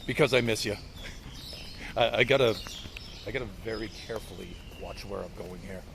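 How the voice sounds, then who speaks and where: An American man with a fairly low voice talks straight to his viewers in a casual, rambling way. He is live streaming on foot, holding his phone out at arm's length. The outdoor recording has clearly audible ambient noise. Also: a little fast. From United States. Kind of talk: casual walking livestream chat. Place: outdoors, walking along streets to a park. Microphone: phone held at arm's length. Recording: noisy outdoor phone livestream, insect-like ambience.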